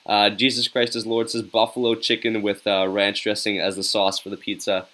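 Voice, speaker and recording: A man's voice: fairly low pitch, monotone, normal speed, bright, forceful tone. Upbeat young man hosting a stream. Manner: upbeat and chatty, says "yo" a lot, hypes up viewers. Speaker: live-stream host reacting to a hockey game. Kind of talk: casual live-stream chat, reading viewer comments.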